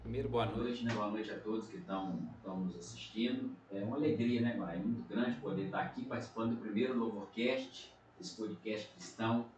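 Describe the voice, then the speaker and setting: A man's voice: on the low side, fairly quick, few pauses, darkish tone. A middle-aged man, a pastor from Minas Gerais with a Brazilian accent, giving an earnest testimony in a casual podcast chat. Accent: Brazilian Portuguese, Minas Gerais. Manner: earnest, frequent tag questions. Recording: echoey room, distant mic, faint noise.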